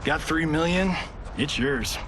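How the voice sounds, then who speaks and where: A man's voice: on the high side, slow, background noise. A man playing a cocky, wisecracking Hollywood action star in a video game, flippant and teasing. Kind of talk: cinematic video game drama acting.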